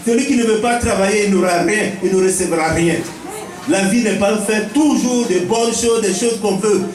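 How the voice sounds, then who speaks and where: A man with an Ivorian accent giving a preaching-style welcome speech as a village chief, teaching point by point and repeating key phrases. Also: sits high. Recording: echoey, picked up from a distance.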